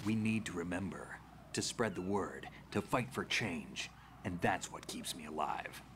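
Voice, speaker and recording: Brief: a terse, world-weary game soldier, deep and gruff. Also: male voice, lively intonation, unhurried, noisy background. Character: weary gravel-voiced veteran male soldier, terse.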